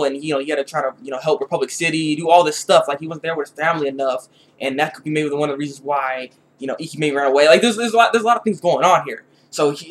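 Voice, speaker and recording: Fast-talking higher-voiced man rambling. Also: fairly bright tone. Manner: rambling, repeats phrases, slangy. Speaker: YouTube reviewer. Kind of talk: casual off-the-cuff episode review.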